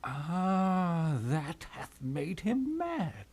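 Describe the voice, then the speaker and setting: A man's voice: fairly high pitch, big pitch swings, drawn-out words, a little mellow, slightly rough, heavily acted. A man playing a fussy, pompous old royal counselor who rambles and dodders in a theatrical Shakespeare puppet show. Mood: concerned.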